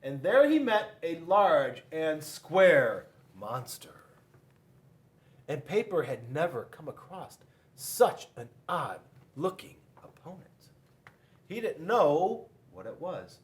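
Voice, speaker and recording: A man reading a children's storybook aloud in an animated way, with dramatic pauses and sudden emphasis. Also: on the high side. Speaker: police school resource officer reading to children. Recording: echoey room.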